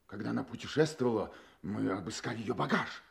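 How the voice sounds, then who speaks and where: A man's voice: on the low side, expressive, quick pace, vocal fry. A man acting an anxious, proud royal client in an audio drama, speaking formally and with earnest emphasis. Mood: regretful, earnest.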